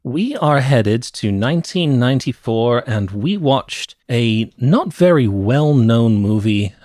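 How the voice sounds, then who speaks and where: A man's voice: medium pitch, expressive, slow, darkish tone, no room sound. Middle-aged man, dry close podcast sound. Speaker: podcast co-host. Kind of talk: casual movie review chat. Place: cluttered home room with shelves. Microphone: close podcast mic with headset.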